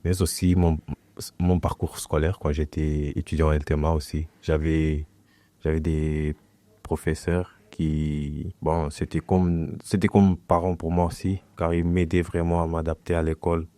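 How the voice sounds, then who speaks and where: A young man from Portugal whose first language is Portuguese, talking as a guest in a relaxed, hesitant way and often restarting his phrases. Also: extremely low pitch, a little slow, no room sound. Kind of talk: casual conversation.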